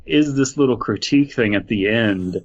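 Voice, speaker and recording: A man's voice: mid-range voice, moderate pace, a crowd in the background. A man co-hosting a podcast in casual chat, joking and sarcastic, often laughing. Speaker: podcast co-host. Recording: dull lo-fi sound.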